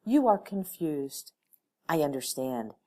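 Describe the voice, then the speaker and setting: Casting: courteous, uncanny audiobook gentleman played by a woman, formal, precise, with swooping intonation. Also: deep voice, sing-song, takes it slowly, full character voice. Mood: calm, knowing. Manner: formal, over-precise, polite.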